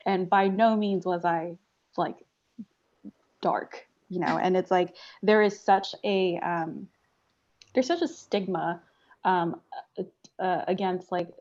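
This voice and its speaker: The audio is a little dull and lo-fi. An American woman with a slightly low voice talks casually in a group discussion, tentative and halting as she picks her words. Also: darkish tone. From born in China, grew up in America.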